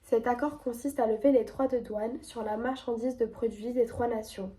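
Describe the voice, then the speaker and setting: A young woman with a stuffy head cold talks through definitions and figures in a clear, earnest way. Her voice is mellow and fairly high, and it sits a little back from the microphone. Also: steady tone, normal speed, recorded from a distance. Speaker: educational video host. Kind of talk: explanatory presentation.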